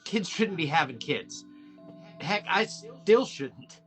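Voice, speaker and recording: An actor reading a laid-back, guarded small-town policeman in a film script, casual, halting and folksy. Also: on the high side, sing-song, fairly bright tone, soft background music, full character voice. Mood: guarded, confiding. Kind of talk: table read of a film script.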